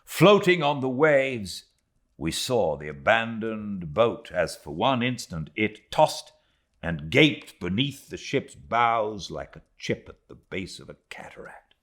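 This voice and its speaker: British man, low voice, very unhurried pace, theatrical audiobook narration, dramatic swells and hushed drops. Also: sing-song. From Britain. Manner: theatrical, swelling to intensity and dropping to hushed tones. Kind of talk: dramatic literary audiobook reading.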